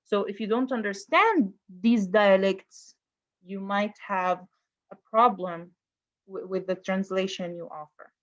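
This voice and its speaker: A woman's voice: mid-range voice, a little slow, lo-fi sound. A woman lecturer teaching an online class over a video call, talking conversationally and often putting questions to her students. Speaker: university lecturer.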